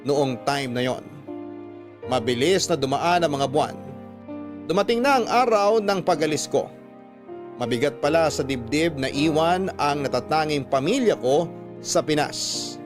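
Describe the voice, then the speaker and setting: A man reading a listener's love story aloud in first person, earnest and storytelling, for a radio drama. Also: fairly high pitch. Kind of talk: scripted radio-drama narration. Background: music.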